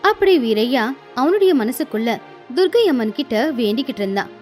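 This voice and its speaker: A woman's voice: on the high side, sing-song, a little fast, a little mellow, faint music behind. A woman reading scripted storybook narration as the narrator of a tale.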